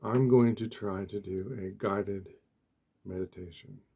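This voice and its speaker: A man speaking softly and gently in short, deliberate phrases with pauses, as an ASMR host giving a meditation talk. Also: fairly low pitch, steady tone, narrow, tinny audio. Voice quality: soft, hushed, breathy.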